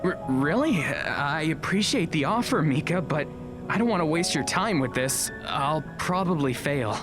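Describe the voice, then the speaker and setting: A woman playing an easygoing, modest high school student in an audio drama, casual and slightly hesitant, with music behind. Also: lively intonation, moderate pace. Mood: unsure.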